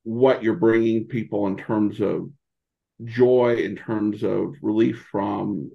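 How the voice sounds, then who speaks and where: A man chatting casually as a podcast interview guest, speaking haltingly with repeated false starts. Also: on the low side, steady tone, vocal fry, highs cut off.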